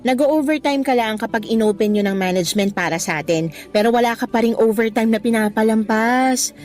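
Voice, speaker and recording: A woman's voice: fairly high pitch, brisk, soft background music, natural voice. A young woman with a Filipino accent playing a nosy, caring, insistent office co-worker in a radio drama. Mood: probing, teasing. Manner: probing, expressive.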